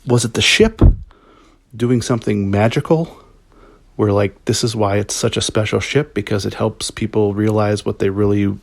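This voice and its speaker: A man's voice: on the low side, fairly even intonation, moderate pace, vocal fry, no room sound. A man giving a casual spoken review as listener feedback, rambling and hesitant as he thinks aloud.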